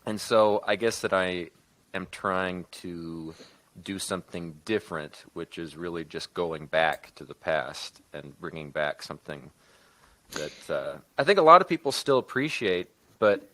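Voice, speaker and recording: A man talking casually as a podcast guest, in a thoughtful, measured and somewhat hesitant manner. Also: low voice, pushed and bright, no echo. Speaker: podcast guest, co-producer and writer. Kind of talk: casual discussion.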